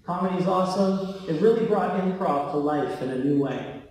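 A man giving an informal conference talk from a theatre stage, casual and a little halting in delivery. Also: high voice, darkish tone, slight background noise. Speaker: tech company co-founder giving a talk. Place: large theatre stage, indoors. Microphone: handheld microphone, recorded by a far camera. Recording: very echoey hall, heard from far off.

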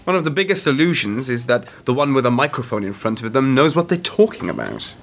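A man playing a dry, measured, self-deprecating former intelligence worker turned author in a radio play. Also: medium pitch, big pitch swings, creaky. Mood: cynical, measured. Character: wry, world-weary male author and former intelligence worker. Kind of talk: radio drama dialogue.

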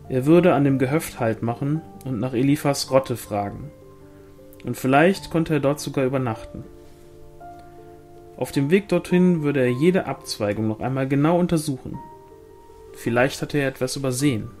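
A man's voice: mid-range voice, darkish tone. Male audiobook reader, medium register, scripted fantasy narration over a music bed.

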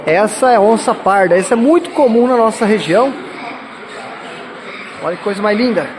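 Man, southern Brazilian accent, high voice, enthusiastic phone vlog, outdoor park, loud background noise. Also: lively intonation, normal speed. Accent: Brazilian Portuguese, southern Brazil. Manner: enthusiastic, repeats exclamations of awe, speaks to viewers. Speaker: vlogger filming a zoo visit. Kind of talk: casual handheld narration. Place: outdoor wildlife park with enclosures. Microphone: phone camera held while walking. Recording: noisy outdoor phone recording. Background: outdoor ambience and animal sounds.

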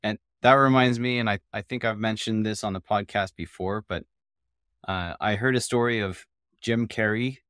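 A man hosting a podcast in a casual interview style, halting and now and then stuttering. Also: fairly low pitch, steady tone, a bit bright, no room sound, clean studio sound. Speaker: podcast host.

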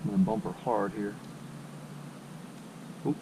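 A man's voice: on the low side, steady tone, a little slow. A man chatting casually while fishing, in a colloquial, folksy way, half muttering to himself and to the fish. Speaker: angler filming a fishing outing. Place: outdoors on a grassy pond bank. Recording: noisy outdoor action camera with insect buzz.

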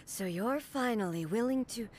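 A woman's voice: a little high, expressive, normal speed, a little mellow, slight background noise, natural voice. A woman playing a regal, implacable warrior goddess in an anime video game, speaking in a solemn, grandiose, formal way.

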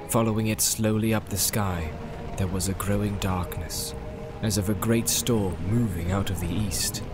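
A man narrating a fantasy audiobook in a dramatic, scripted storytelling style. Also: fairly low pitch. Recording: loud music behind. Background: loud music.

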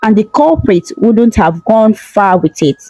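A woman doing a calm kindly princess. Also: medium pitch, measured pace, no room sound, soft background music, low-quality recording. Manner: calm and reassuring.

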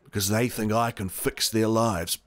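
An earnest man played by an actor who leads survivors reluctantly and doubts himself. His voice is fairly low. The delivery is dramatic, as in a science fiction audio drama. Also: steady tone, moderate pace, no room sound, lightly acted. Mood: tender, resigned.

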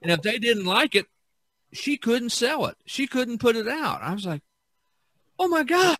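Male podcast host, middle-aged, bright and dry-miked, conversational, loose and repetitive storytelling. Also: extremely high pitch, measured pace, no room sound. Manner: rambling, repeats himself, animated when quoting others. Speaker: podcast host, visual artist.

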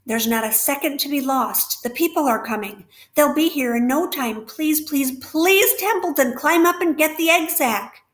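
Pleading young pig boy, read by a woman, high and loud, roomy sound. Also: takes it slowly, hardly any pauses. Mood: desperate. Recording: roomy echo.

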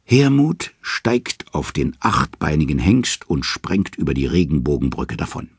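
A man narrating a mythic tale in dramatic, scripted storytelling style with a gravelly, husky voice. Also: a bass voice, sing-song, unhurried, dry recording, studio quality.

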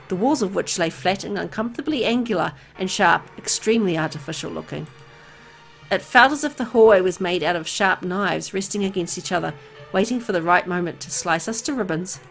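A woman reading a horror visual novel aloud as dramatic story narration. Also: lowish voice.

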